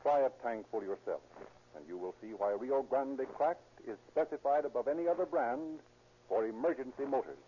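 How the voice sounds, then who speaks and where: A man announcing in formal 1930s radio style, delivering a carefully phrased scripted sponsor pitch. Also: moderate pace. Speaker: announcer and narrator. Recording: muffled, hissy 1930s radio recording.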